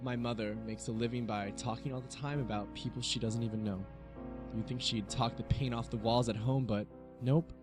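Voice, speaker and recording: A frank young man played by an actor in a soap drama, coaxing like a supportive friend. His voice is mid-pitched, with faint music behind it. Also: fairly even intonation, a little fast, natural voice. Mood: earnest, gently teasing. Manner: earnest, coaxing. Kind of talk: scripted audio soap drama acting.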